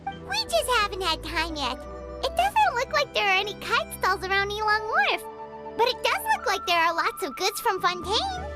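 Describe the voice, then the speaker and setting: An actress voicing a tiny, chatty, cheeky female companion sprite in a game, in a squeaky childlike voice. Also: big pitch swings, over loud music. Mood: curious. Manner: chatty, teasing, quick asides. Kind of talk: game dialogue. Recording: game score playing under the voice.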